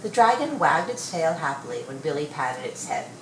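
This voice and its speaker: Female storybook reader, low register, expressive measured delivery, roomy sound with faint background noise. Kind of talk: read-aloud children's story narration.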